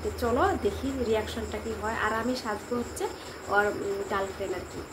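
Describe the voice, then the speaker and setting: A woman's voice: medium pitch, fairly even intonation, fast talker. A woman vlogger chatting casually to her viewers, recorded on a phone with noise behind her. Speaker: vlogger hosting a prank call video. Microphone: phone camera.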